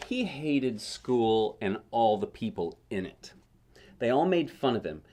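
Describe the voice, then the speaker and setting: A man's voice: medium pitch, a little mellow. A man reading a picture book aloud as a dad would at bedtime, expressively, with casual, wondering asides.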